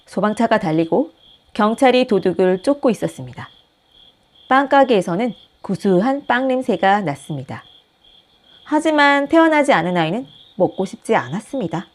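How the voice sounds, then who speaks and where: A woman host reading a picture book aloud with gentle, expressive storytelling. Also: medium pitch. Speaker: storybook reader, channel host.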